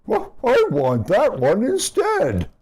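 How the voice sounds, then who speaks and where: A greedy, playful storybook dog, acted out by a man reading aloud. He exclaims with glee, his pitch swooping up high. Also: takes it slowly, slightly rough, exaggerated voice. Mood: greedy wanting. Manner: excited, exclaiming.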